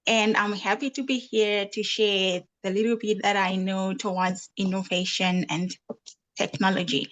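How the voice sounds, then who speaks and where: A young woman from Zimbabwe introduces herself smoothly on a video call. Her pitch sits in the middle range. She speaks politely and evenly. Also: little pitch movement, normal speed, a bit bright, low-quality recording. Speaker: panelist, researcher. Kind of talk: self-introduction.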